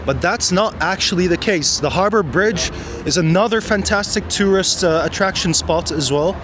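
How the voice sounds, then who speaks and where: A man's voice: high voice, normal speed. A man from Egypt narrating a casual walking travel vlog outdoors at night, with many fillers. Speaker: vlogger. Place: outdoors on a city street at night. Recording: street noise behind.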